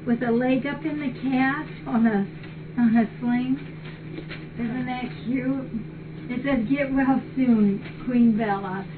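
An older woman chatting casually and appreciatively as she hosts a live webcam stream and reads things aloud. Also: a little high. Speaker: cat rescue shelter host. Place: large indoor cat shelter room. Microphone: distant fixed webcam. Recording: muffled, noisy webcam audio from far off.

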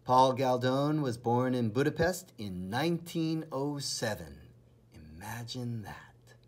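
A man reading a children's storybook aloud in an expressive, dramatic, deliberate way, with a husky, breathy voice. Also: medium pitch.

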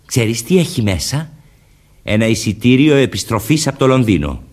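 An actor playing a jealous, suspicious, hot-tempered young husband in a Greek radio drama. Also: male voice, medium pitch, very animated, darkish tone. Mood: accusing.

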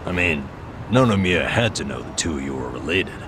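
A man's voice: very deep voice, lively intonation, fairly quick, noisy background. An actor playing a blunt, wary man in a dramatic video game.

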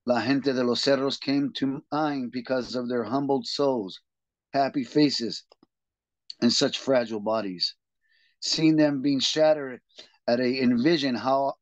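A man's voice: medium pitch, no room sound. Man reading aloud over muffled call audio. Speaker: reading group host. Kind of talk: reading a text aloud, then group discussion. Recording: dull, dry lo-fi video call audio.

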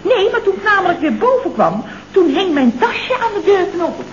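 Feisty, talkative secretary for a radio comedy; high-pitched, on a muffled, noisy old recording. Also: female voice, very animated, normal speed. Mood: breathless, gossipy. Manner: gushing, chatty, full of colloquial tag questions. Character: feisty, chatty, indignant single female office secretary. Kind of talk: 1970s Dutch comedy radio play acting.

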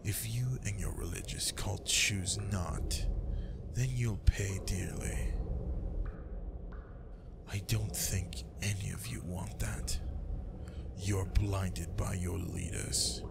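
Guy doing a menacing vampire lord asmr roleplay, super slow breathy whisper, low voice, really noisy ambience behind. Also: exaggerated voice. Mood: cold menace. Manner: slow, deliberate, threatening. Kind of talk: improvised ASMR roleplay, whispered, addressed to the listener. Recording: loud steady background ambience noise.

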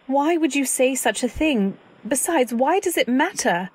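A woman's voice: high-pitched, animated, measured pace, husky, exaggerated voice. A woman playing an anxious, devoted wife who narrates her story in dramatic old-time radio style. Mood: anxious, pleading. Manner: dramatic, earnest delivery. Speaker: narrator and actor.

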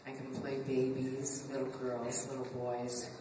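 A woman voice actress with a deep voice, speaking earnestly on a convention panel. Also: monotone, unhurried. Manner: earnest, self-described deep voice. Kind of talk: casual convention Q&A. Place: large convention function room. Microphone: table mic seen from far camera. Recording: echoey hall from far off, hiss.

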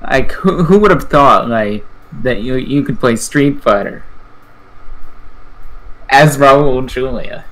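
A man's voice: medium pitch, takes it slowly, pauses often, fairly bright tone, low-quality recording. Male podcast panelist, mid-range voice, informal conversational delivery. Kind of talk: casual chat.

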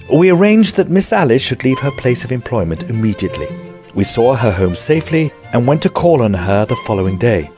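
An earnest Edwardian estate agent, acted by a man tells his tale in formal, courteous phrases. The delivery is lively, with music playing clearly behind him. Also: medium pitch, lightly acted. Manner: formal, polite. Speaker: narrator and character. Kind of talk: audio drama storytelling.

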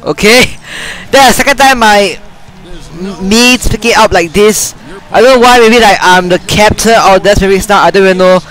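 A young man on a headset mic giving excited, trash-talking commentary while playing a basketball video game in a small room. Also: high voice, normal speed, poor audio. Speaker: gamer.

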